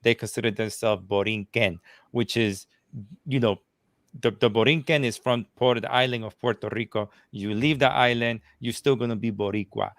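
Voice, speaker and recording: A man, a guest reviewer from New Jersey, talking in a casual panel chat, animated, with many restarts and fillers. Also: mid-range voice, moderate pace, no room sound, studio quality. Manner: animated, talks with his hands in phrases, many restarts and fillers.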